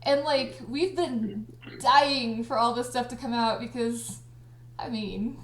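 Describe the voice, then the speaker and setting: Giggly, higher-voiced woman in an echoey room. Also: slow. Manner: giggly, excitable, frequently breaking into laughter. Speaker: audio drama creator and cast party host. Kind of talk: casual livestream chat. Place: indoors on a group livestream call.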